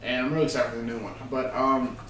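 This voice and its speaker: A man's voice: medium pitch, fairly even intonation, fast talker. A man chatting casually and unscripted about his opinions as a video co-host, rambling and emphatic. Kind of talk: casual unscripted gaming discussion. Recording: roomy, distant mic, faint noise.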